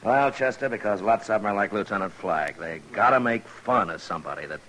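A man's voice: lowish voice, fairly quick, bright, forceful tone. A man playing an easygoing U.S. Marshal with a wry, patient manner in a 1950s radio western. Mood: amused, relaxed. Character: easygoing, amused male U.S. Marshal of the Old West. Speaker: lead actor in radio western. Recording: noisy old radio recording.

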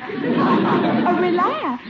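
Skeptical young niece, high voice, pointed incredulous questions, 1950s radio comedy, muffled recording. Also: female voice, sing-song, extremely slow, over a noisy crowd, full character voice. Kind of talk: 1950s radio sitcom acting. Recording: muffled old radio with audience noise.

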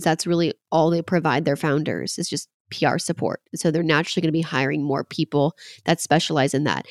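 A woman's voice: deep voice, dry recording, studio quality. Congested twenty-something woman, chatty host. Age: 20s. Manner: chatty, relaxed, frequent "like" fillers. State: slightly congested from a cold. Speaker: podcast host. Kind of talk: casual solo podcast talk.

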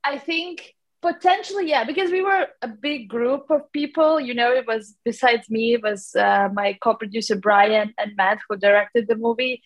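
A woman's voice: on the high side, normal speed. A woman from Latvia with a Latvian accent, a documentary producer answering interview questions in a rambling way with many fillers and restarts.

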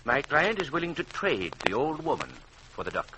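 Polite mob lawyer on very noisy radio. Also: male voice, a little high, very animated, normal speed. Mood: hesitant. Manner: courteous, hesitant, lilting questions. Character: polite, evasive male lawyer for gangsters. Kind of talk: old-time radio drama acting. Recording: very noisy, crackly vintage radio recording.

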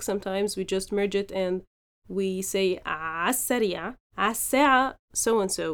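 A woman hosting a podcast, teaching phrases slowly and explaining each example in turn. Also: mid-range voice. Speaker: podcast host and Arabic teacher. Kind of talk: language lesson podcast.

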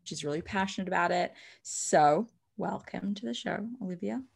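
A woman's voice: on the low side, moderate pace, gravelly, slightly rough. A woman hosting a podcast interview, chatting loosely and eagerly with fillers and restarts. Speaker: podcast host. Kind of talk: casual interview chat.

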